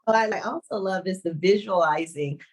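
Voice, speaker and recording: A woman hosting a podcast interview, encouraging and expressive, now and then slipping into playful put-on voices. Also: mid-range voice, moderate pace. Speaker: podcast host.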